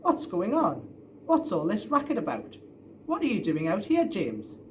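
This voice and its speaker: A man's voice: sits high, wildly swooping pitch, a little slow, recorded from a distance, slight background noise, voice pushed up, full character voice. A man voicing a blustery farm father in a children's storybook. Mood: cross. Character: cross farm dad who softens into amazement.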